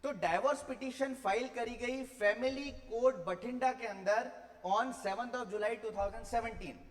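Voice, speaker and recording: A man's voice: extremely high pitch, slow pace. A man with an Indian accent giving an explanatory law lecture, mixing Hindi and English and putting rhetorical questions to students. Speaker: law teacher. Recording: long hall reverb.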